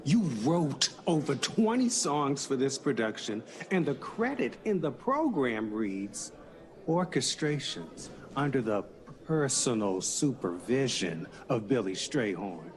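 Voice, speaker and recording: An indignant character in an audio drama. His medium-pitched voice is slow and pointed, with strongly varied intonation. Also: big pitch swings. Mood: bitter. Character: indignant, wounded man confronting a slighted friend.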